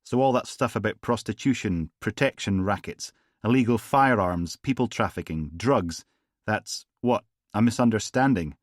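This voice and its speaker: A man's voice: fairly low pitch, moderate pace, no room sound, lightly acted. A man voicing a blunt, defiant male police sergeant with a dry, sardonic manner.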